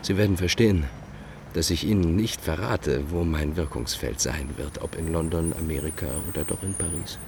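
A deep male voice plays a fugitive former clerk in a radio play. He is formal, measured and proudly cynical, over a clearly audible bed of street-like background noise. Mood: coldly self-important. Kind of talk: German radio play acting.

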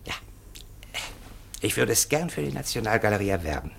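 An actor playing a ponderous, dignified government minister in a German radio play, hesitant and pompous. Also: male voice, lowish voice, very animated, fairly quick, creaky, a bit bright, slight background noise, heavily acted. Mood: confiding, regretful.

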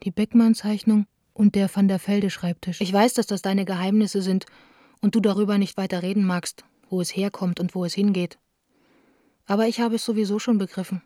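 A woman's voice: medium pitch, dark tone, dry recording, clean studio sound, close to an everyday voice. An actress playing a lovesick young woman who reads her intimate, wistful letters aloud in a radio play.

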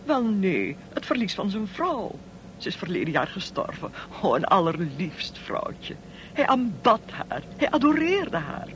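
A woman's voice: mid-range voice, sing-song, a little slow. An actress playing an overbearing, gossipy, wealthy society lady in a radio play, condescending and theatrical, on a noisy old recording. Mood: condescending, dramatic. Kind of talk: radio drama acting.

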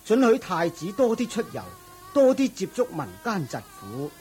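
A man's voice: sits high, very animated, moderate pace, a little mellow, soft background music. A man playing a dutiful royal prime minister in a Cantonese audio drama, deferential to his master and dismissive of commoners. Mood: puzzled, scheming.

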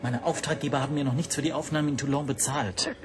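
A man's voice: a little high, fairly even intonation, fast, lightly acted. An actor playing a flustered, indignant language teacher, defensive and agitated as he bluffs in a radio drama.

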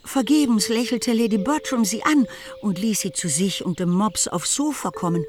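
A woman's voice: mid-range voice, normal speed, noisy background, close to an everyday voice. A woman narrating a period novel for a radio play in a scripted, literary storytelling style.

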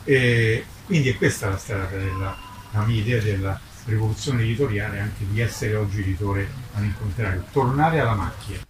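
An older man, over sixty, a publisher speaking in an impassioned, declamatory way at a public presentation, sometimes halting and repeating himself. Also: on the low side. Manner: emphatic, declamatory, with halting repetitions. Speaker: book publisher. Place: book presentation before an audience, recorded from a distance. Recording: roomy, distant mic with clear background noise.

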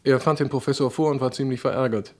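Actor as courteous, guarded gentleman. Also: mid-range voice, fairly even intonation, fast, lightly acted. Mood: confiding, guarded. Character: evasive gentleman doctor, defensive yet courteous. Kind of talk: German radio crime drama acting.

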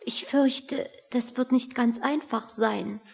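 Very breathy, cautious, needling woman. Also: fairly high pitch, takes it slowly, exaggerated voice. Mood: quietly needling. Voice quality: very breathy, often half-whispered. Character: prim, cautious female colleague, quietly needling. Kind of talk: German radio play acting.

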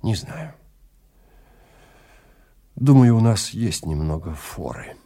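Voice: low-pitched, sing-song, takes it slowly, dark tone, full character voice. A man acting a tense, weary husband on the run in an audio drama, speaking in a grave, terse way. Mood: tense, reassuring.

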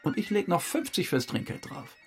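A man's voice: high-pitched, huge pitch swings, normal speed, soft background music. An actor playing a boastful, weary male suspect who rambles through a confession in a naturalistic radio drama. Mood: animated, urgent. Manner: rambling confessional monologue, acting out remembered exchanges.

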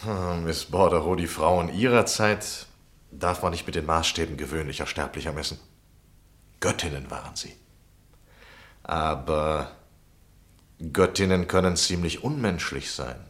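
A man playing a polite, flattering gentleman journalist with formal gallantry in a 1960 German radio play. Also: sits low, measured pace, long pauses. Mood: courteous, flattering. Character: courteous, flattering gentleman journalist, smooth and persistent.

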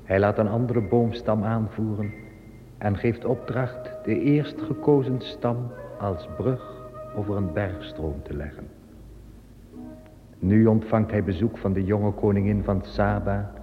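A man narrating a scripted radio play as a storyteller. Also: on the low side. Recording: reverberant, dull old recording with music. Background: music.

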